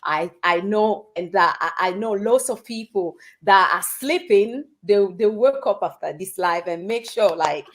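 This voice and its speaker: A woman's voice: mid-range voice, animated, unhurried, few pauses. A woman hosting a livestream interview, speaking in an enthusiastic, encouraging way. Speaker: livestream host.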